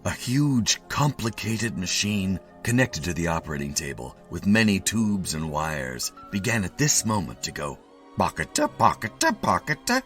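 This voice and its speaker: A man reading a comic short story dramatically, with theatrical, expressive delivery. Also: sits low, normal speed, faint music behind. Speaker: story reader.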